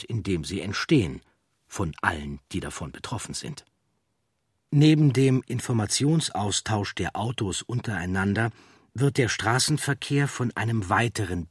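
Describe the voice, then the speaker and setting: A man narrates a documentary audiobook in standard German. His voice is low and husky, recorded very dry and close. The delivery is measured and articulate. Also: dry recording.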